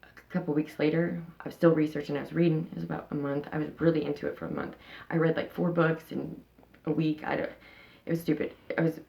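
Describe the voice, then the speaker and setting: A woman's voice: deep voice, level tone, quick pace, gravelly, dark tone. A woman in her thirties talking frankly in a casual interview, rambling with many fillers and restarts. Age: 30s. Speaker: interviewee, channel host.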